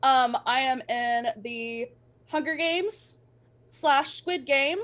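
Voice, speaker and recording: A woman streamer speaking as a guest in casual chat. Her voice sits a bit high. She is gushing and playful, with a very informal way of talking. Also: slow pace, fairly bright tone.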